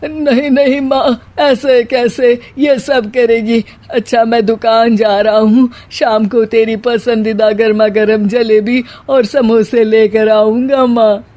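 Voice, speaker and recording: A woman's voice: on the high side, flat delivery, slow, few pauses, warm tone. A woman playing a doting, tearful elderly father-in-law devoted to his mother, in an overwrought cartoon style.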